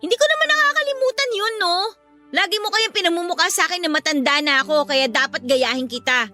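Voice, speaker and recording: An actress playing a bratty, defiant eighteen-year-old younger sister in a Filipino radio drama, with a sarcastic, whiny way of arguing. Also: female voice, extremely high pitch, lively intonation, fast, bright, forceful tone, soft background music, exaggerated voice. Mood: sulky, sarcastic.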